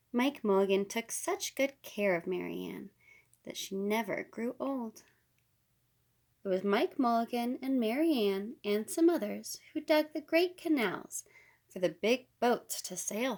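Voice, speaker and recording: A woman reading a children's picture book aloud as a storyteller, unhurried and with deliberate pauses. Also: on the high side, darkish tone. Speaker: storybook reader.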